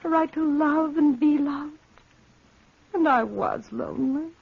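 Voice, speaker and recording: An actress playing a lonely, unhappy young French wife with melodramatic, plaintive delivery in an old-time radio drama. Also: female voice, high voice, a little slow, gravelly. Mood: anguished. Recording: muffled old radio recording.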